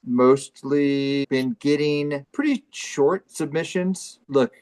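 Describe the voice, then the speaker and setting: A man co-hosting a live comedy show over an online video call, bantering casually in a deadpan, mock-serious way. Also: medium pitch, slow.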